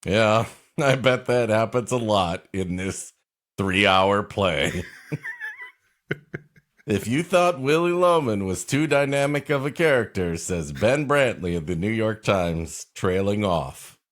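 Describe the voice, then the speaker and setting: Man, podcast co-host, lowish voice, animated pitch, jokey, swears a lot. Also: takes it slowly. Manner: joking, laughs often, swears casually. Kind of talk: casual comedic banter.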